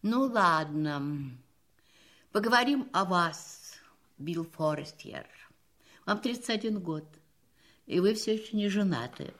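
A woman's voice: medium pitch, animated, takes it slowly, pauses often, fairly bright tone. An actress playing an elderly spinster lady in a drama, candid and gracious, with a reflective, teasing manner. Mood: lively, gracious. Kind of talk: acted radio-play style dialogue. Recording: music playing behind the voice.

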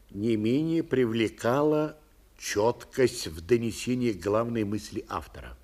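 A man reading a recollection aloud in a measured, declamatory manner. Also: mid-range voice, darkish tone.

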